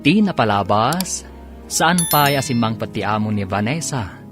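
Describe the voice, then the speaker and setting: A man's voice: mid-range voice, lively intonation, measured pace. A man with an Ilocano accent announcing a radio drama in scripted, dramatic narration. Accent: Ilocano, from the Philippines. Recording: faint music behind.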